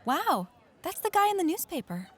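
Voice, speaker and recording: A woman voicing a cheerful, curious, friendly teenage schoolgirl in an animated audio drama. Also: very high-pitched, moderate pace.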